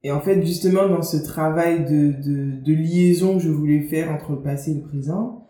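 A woman's voice: low voice, moderate pace, dark tone. A young woman from Guadeloupe, an author being interviewed, talking casually with many fillers and restarts. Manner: chatty, lots of fillers and restarts. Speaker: author and media founder, guest. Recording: roomy, faint background noise.

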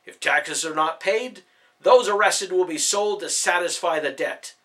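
A man voicing an arrogant, cruel soldier captain who shouts orders and taunts. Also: high-pitched, measured pace, pitched above the performer's own voice. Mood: commanding.

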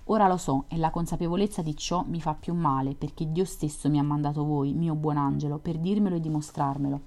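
A woman reads the part of a lonely young man, a dreamer full of melancholy, who pours out long fervent confessions. His voice sits low and mellow in her reading. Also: steady tone, a little fast. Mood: fervent lament.

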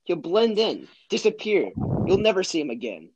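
A man's voice: high-pitched, sing-song, moderate pace, a little mellow, highs cut off, slight background noise, voice pushed up. A man playing a boastful, confident adventurer, speaking emphatically in an amateur film script reading. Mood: confident boasting. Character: boastful male adventurer confidently bluffing about his friend.

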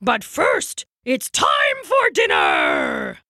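A crude blue goblin boss from a children's storybook, taunts in a slow, gravelly croak. The pitch lurches wildly up and down, and every phrase is stretched out with cruel, gloating relish. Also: female voice, huge pitch swings, pushed and bright, no echo, shouting, clean studio sound, exaggerated voice. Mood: gloating, bossy. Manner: drawn-out, mocking delivery. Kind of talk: storybook character voice.

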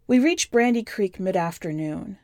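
A woman reading scripted first-person fiction as a podcast narrator, with measured, deliberate phrasing. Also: medium pitch, expressive, a little mellow, dry recording, studio quality. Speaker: podcast host and story narrator.

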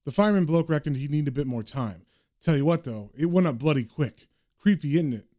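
A man's voice: fairly high pitch, animated, a little fast, mellow, close to an everyday voice. Guy putting on a thick chatty british cop, gullible, blokey slang, comic book story.

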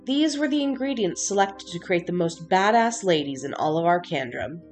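Female trailer narrator, dramatic scripted read, very lively intonation, slightly low pitch, faint music. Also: normal speed.

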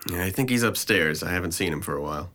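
A man's voice: sits low, expressive, fast, sharp tone. A man playing a depressed, sardonic twenty-one-year-old in an audio drama, with a dry, articulate delivery. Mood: dry, defensive. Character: sardonic, depressed young man, resentful and withdrawn.

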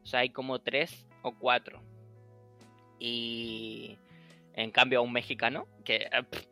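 A chatty young man from Chile hosts a casual podcast, his voice bright and forward. He slips into acted-out dialogue and laughs a lot, with faint music behind him. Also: mid-range voice, little pitch movement, slow pace. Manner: chatty, acts out past conversations, laughs often. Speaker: podcast host. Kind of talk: casual chat.

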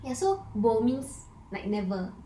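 A woman's voice: a little high, big pitch swings, warm tone, reverberant, slight background noise, rough recording. A woman from Singapore co-hosting a casual chat, teaching slang with giggly enthusiasm. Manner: giggly, enthusiastic, quick to laugh. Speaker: co-host.

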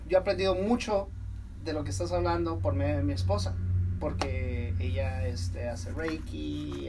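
A man's voice: fairly high pitch, vocal fry. A man co-hosting a podcast, chatting casually and giving many short acknowledgments. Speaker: podcast co-host. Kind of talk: casual interview chat. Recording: distant mic with faint noise.

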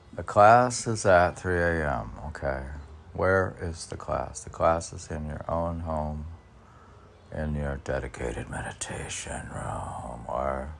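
A man rambling in a halting, musing way as a poet, asking himself questions and answering them, in an amateur recording. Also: sits low, creaky, slight background noise. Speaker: poet reading and discussing his own work. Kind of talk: rambling monologue of self-posed questions and answers.

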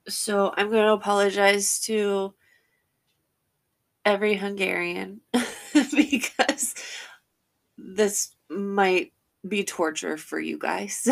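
A woman hosts her own podcast, her voice stuffy from congestion. She talks slowly and nervously, filling gaps with ums and stretching her vowels. Her tone carries a gravelly vocal fry. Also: medium pitch. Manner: nervous, many fillers and drawn-out vowels; laughs between sentences. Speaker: podcast host. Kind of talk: casual podcast chat.

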